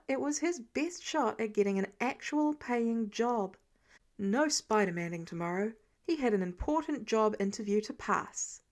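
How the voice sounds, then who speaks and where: A woman with a fairly high voice narrates fan fiction. She reads from a script in an expressive storytelling style, as a podfic reader does.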